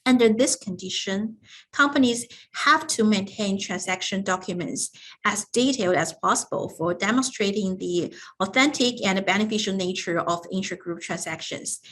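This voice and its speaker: Woman giving a formal, halting business presentation. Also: medium pitch, a bit bright. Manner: formal, halting reading with frequent hesitations. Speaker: business tax advisory presenter. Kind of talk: scripted explanatory business presentation.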